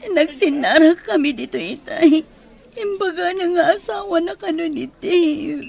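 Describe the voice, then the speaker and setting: A woman's voice: extremely high pitch, expressive, unhurried, creaky, darkish tone, full character voice. A woman acting as a hurt young woman in an audio drama, confiding in an upset, near-tearful way.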